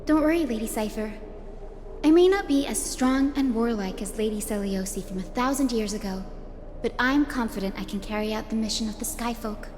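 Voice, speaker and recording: A woman voicing a gentle, polite young priestess healer in a video game, courteous and earnest. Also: a little high, a little fast, a little hiss or hum, natural voice. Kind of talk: video game character acting. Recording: long reverb, music behind.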